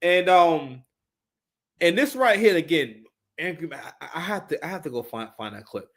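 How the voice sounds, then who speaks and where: A man's voice: fairly high pitch, lively intonation, moderate pace. A man in his thirties giving casual, unscripted live commentary, talking emphatically, repeating his phrases and swearing freely. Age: 30s.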